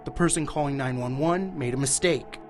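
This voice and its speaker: A man's voice: medium pitch, brisk, music in the background. An American man narrating a scripted television news report as a reporter.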